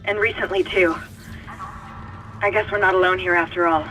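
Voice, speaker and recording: An actress playing a wary, practical crew technician, guiding someone briskly over radio in a video game. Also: female voice, on the low side, fairly even intonation, highs cut off, natural voice. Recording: distant radio link, music behind.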